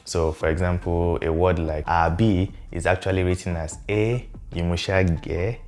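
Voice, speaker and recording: Young man, Yoruba native, deep voice, friendly explainer, lapel mic in a small room. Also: faint music behind. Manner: relaxed, friendly explaining, slows to spell out words. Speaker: language vlogger. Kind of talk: explanatory talk to camera.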